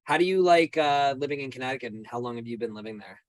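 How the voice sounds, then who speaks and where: A man's voice: medium pitch, animated, fast talker, no room sound. A man hosting a podcast, friendly and upbeat as he introduces and questions his guest. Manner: friendly, upbeat host with repeated words. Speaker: podcast host. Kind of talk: podcast interview.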